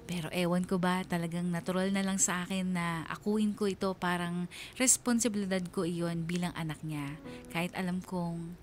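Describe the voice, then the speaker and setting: A woman's voice: on the low side, fairly even intonation, moderate pace, faint music behind, close to an everyday voice. A woman playing an earnest, dutiful young nurse who narrates her own story in a Filipino radio drama, reflectively.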